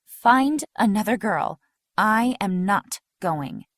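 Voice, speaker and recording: A woman's voice: medium pitch, expressive, takes it slowly, no room sound, studio quality. A woman playing a defiant, sarcastic and frightened young woman in an audio drama, indignant and skeptical in her delivery.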